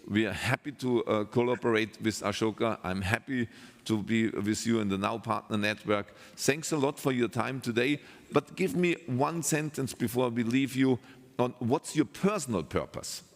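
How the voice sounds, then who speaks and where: Male stage host, mid-pitched, upbeat and loose in phrasing, long hall reverb. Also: normal speed. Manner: loose non-native phrasing, upbeat thanks. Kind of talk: live stage interview.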